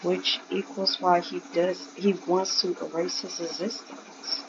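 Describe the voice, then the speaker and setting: A muffled recording of a woman commentating on a game, with clear background noise. She talks slowly and casually, hesitating as she thinks aloud. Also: fairly low pitch, little pitch movement. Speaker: gaming video commentator.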